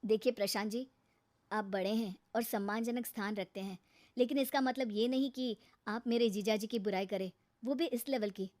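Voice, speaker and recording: Cartoon young brother-in-law, played by a woman: high voice, polite, earnest, firm. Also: moderate pace, a little mellow, close to an everyday voice. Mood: firm, respectful. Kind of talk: Hindi cartoon moral-story dialogue.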